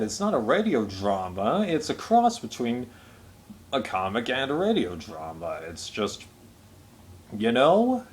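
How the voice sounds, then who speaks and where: A man giving a casual, unscripted review as a reviewer, talking in a rambling, measured way. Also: medium pitch.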